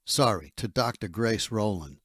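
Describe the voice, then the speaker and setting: Male corporate underling for audio drama: close dry sound, lowish pitch, matter-of-fact, obedient. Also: lively intonation, moderate pace, fairly bright tone, slightly rough, dry recording. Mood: careful. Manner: deferential, matter-of-fact, hesitant. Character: dutiful male henchman reporting to his boss.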